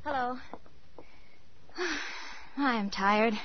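An actress playing a pretty, anxious young stage star in dramatic, hesitant 1940s radio style. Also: female voice, a little high, very slow. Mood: weary, worried. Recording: dull 1940s radio recording, loud music.

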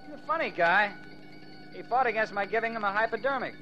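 An actor playing a brisk, matter-of-fact ambulance doctor in 1940s radio drama style. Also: male voice, high voice, expressive, sharp tone, soft background music. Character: brisk male ambulance doctor rattled by a death. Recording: dull, noisy 1940s radio recording.

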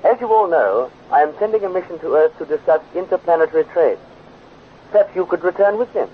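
A man plays a courteous president of another planet in a vintage children's radio drama. He speaks in formal, stately phrases with animated pitch and high ideals. The recording is muffled and slightly noisy. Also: a little fast, lightly acted. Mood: earnest. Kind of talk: 1950s children's radio serial acting.

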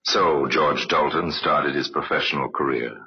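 A man giving measured, wry scripted narration for an old-time radio crime drama. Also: very deep voice, slightly rough. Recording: dull, lo-fi vintage radio recording.

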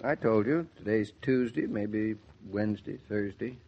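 A dulled vintage broadcast carries a young husband and father. He is wry and proud, with a lowish voice and the delivery of 1940s radio drama. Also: unhurried, lo-fi sound. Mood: resigned, earnest.

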